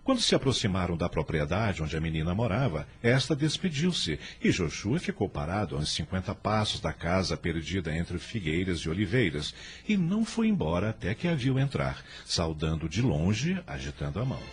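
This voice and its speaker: A man's voice: sits low, sing-song, normal speed, hardly any pauses. A man with a Brazilian accent narrating a radio drama from a script in a solemn storytelling delivery.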